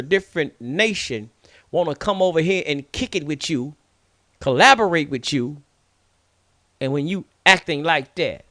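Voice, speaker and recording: A man's voice: on the high side, fairly bright tone, no echo. Fired-up preachy man, dry sound. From United States. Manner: preachy, scolding, repetitive emphasis. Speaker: radio show host. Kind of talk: impassioned monologue.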